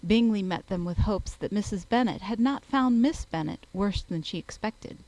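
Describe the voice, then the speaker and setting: A woman's voice: mid-range voice, normal speed, a little mellow, dry recording, poor audio. A woman reading a classic novel aloud as scripted audiobook narration.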